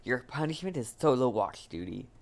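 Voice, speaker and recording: A woman's voice: extremely low pitch, animated, moderate pace, creaky, background noise. A young woman giving casual game commentary as a streamer, often hesitant and repeating herself.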